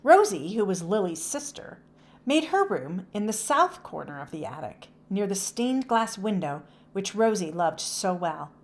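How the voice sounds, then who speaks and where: A woman reads a children's storybook aloud. Her pitch moves up and down in a lively way as she narrates, in a medium register. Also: unhurried.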